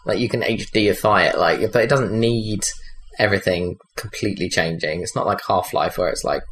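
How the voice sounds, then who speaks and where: Low-voiced, offhand man chatting. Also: fairly quick, a bit bright, slight background noise. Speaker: podcast panelist. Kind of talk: casual chat about video games.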